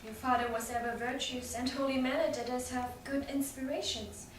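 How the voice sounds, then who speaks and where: A sensible, teasing lady's companion in Shakespearean drama played by a woman, with a high voice. She sounds far off in a very echoey room, with noticeable noise behind the reading. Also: fairly even intonation, moderate pace, natural voice. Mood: sensible, reassuring. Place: reverberant room, staged reading.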